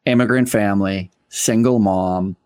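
A man's voice: fairly low pitch, fairly even intonation, a little slow, darkish tone, clear, resonant tone. A man hosting an interview podcast, talking with energy and stressing his points with repeated phrases. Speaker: podcast host. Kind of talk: conversational interview.

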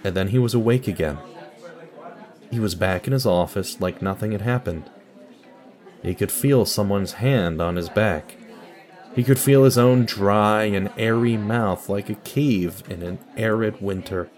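A man's voice: fairly low pitch, a little slow, darkish tone. A man delivering scripted narration and announcements for an audio drama.

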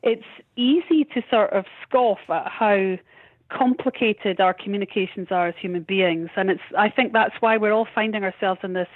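A woman's voice: medium pitch, moderate pace, dry recording. A woman in her fifties, a musician being interviewed, talking in a passionate, outspoken and emphatic way. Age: 50s. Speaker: musician guest. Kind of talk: candid interview conversation. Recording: tinny phone-line sound.

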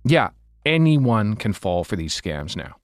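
A man's voice: on the low side, big pitch swings, measured pace, dry recording, studio quality. A man speaking as an investigative journalist, telling a story in an informal, conversational way with emphatic delivery.

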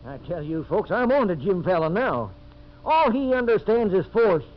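High-pitched, excitable 1950s radio frontier man. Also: normal speed, a little hiss or hum. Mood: defiant, determined. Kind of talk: 1950s radio drama acting. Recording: muffled 1950s radio broadcast, audience sounds.

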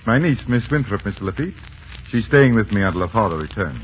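An actor playing a determined, genial older governor in a 1940s radio drama. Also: male voice, lowish voice, expressive, fast talker, close to an everyday voice. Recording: muffled, hissy 1940s radio recording.

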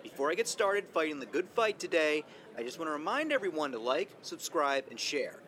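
Indignant conspiracy youtuber rant, high voice, super animated, full of himself. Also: male voice, a little fast. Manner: combative, folksy, self-important. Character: indignant male conspiracy video host, self-important. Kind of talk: online video rant, acted in audio fiction.

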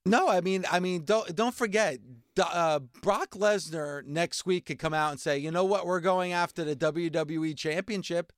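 Casting: high-voiced radio host, smooth and dry, thinks aloud and restarts his sentences. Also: moderate pace, no room sound. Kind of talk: casual radio talk.

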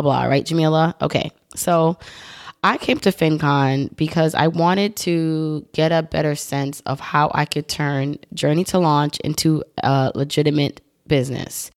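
A woman's voice: sits low, vocal fry. Chatty woman podcast host in her thirties. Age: 30s. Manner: chatty, upbeat, rambling asides. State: recovering from voice loss. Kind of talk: solo podcast monologue.

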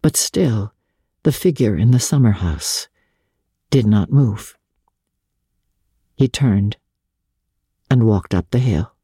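A woman narrating classic literature in a measured, theatrical way, with deliberate pauses between phrases. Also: very deep voice, lively intonation, mellow, husky, no room sound, studio quality. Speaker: narrator, audiobook reader.

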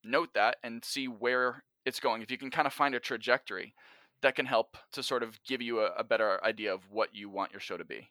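A man's voice: mid-range voice, steady tone, brisk, bright, forceful tone, no room sound. A man talking in an emphatic, instructive way as a guest giving advice in a conversational interview. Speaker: podcast guest, writer and teacher.

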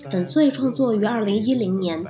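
A woman's voice: medium pitch, normal speed. A woman hosting an audio log, reading a scripted review as narration. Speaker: podcast host, reviewer. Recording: clear music behind the voice.

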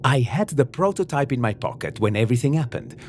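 A man playing an excitable, proud, reckless Italian schemer with an Italian accent in a comedy audio drama. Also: mid-range voice, fast talker, slight background noise, close to an everyday voice. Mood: pleased with himself.

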